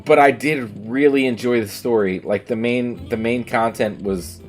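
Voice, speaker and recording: A man's voice: mid-range voice, unhurried. Casual game-streaming man, music behind. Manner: opinionated, emphatic, sweary. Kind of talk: casual livestream chat over gameplay.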